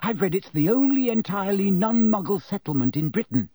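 A man's voice: medium pitch, wildly swooping pitch, normal speed, dark tone, breathy edge, dry recording, studio quality. A man voicing an earnest, bossy, bookish schoolgirl with a keen, emphatic manner in an audiobook. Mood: eager.

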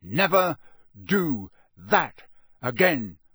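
A man voicing a gruff, menacing old male wizard professor with a gravelly growl, barking his words in a storybook reading. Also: medium pitch, expressive, slow pace, no echo, clean studio sound. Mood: angry growl. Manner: barking, clipped, forceful.

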